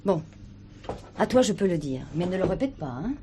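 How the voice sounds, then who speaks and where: A woman's voice: on the low side, animated, normal speed, noisy background, close to an everyday voice. An actress playing a weary, guarded young showgirl in a stage drama, subdued and evasive. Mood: sad, confiding. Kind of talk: French stage drama acting.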